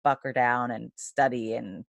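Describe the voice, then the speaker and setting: A woman's voice: rumbling low, fairly even intonation, measured pace, vocal fry, no room sound, studio quality. A woman hosting a casual interview, friendly and supportive, laughing along with her guest. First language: English. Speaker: interviewer host.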